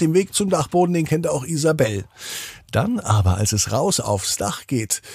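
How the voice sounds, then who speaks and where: A man's voice: mid-range voice, vocal fry, darkish tone, breathy edge, dry recording, clean studio sound. A man hosting a children's podcast, narrating bedtime stories in simple, repeated phrases and speaking directly to young listeners. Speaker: podcast host and bedtime storyteller.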